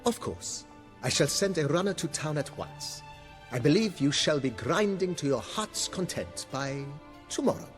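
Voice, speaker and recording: A man's voice: fairly high pitch, very animated, normal speed, soft background music. An actor playing a courteous, deferential male estate majordomo in a video game, with formal, ornate, servile phrasing. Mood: obliging. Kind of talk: video game cutscene acting.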